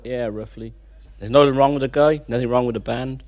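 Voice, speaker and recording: A man's voice: mid-range voice, fairly even intonation, brisk, a little mellow. A man, a band's rhythm guitarist, answering in a casual interview in a laconic, offhand way. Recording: distant mic.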